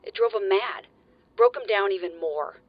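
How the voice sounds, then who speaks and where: A weary, guilt-ridden mercenary. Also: male voice, high voice, normal speed, lo-fi sound. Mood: regretful. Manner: terse, tired and stern.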